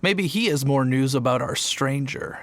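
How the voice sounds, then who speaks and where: A man's voice: mid-range voice, normal speed, slight background noise, lightly acted. A man playing an easygoing young city guard in an English game dub, with a casual, nonchalant, chatty manner. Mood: easygoing, thoughtful.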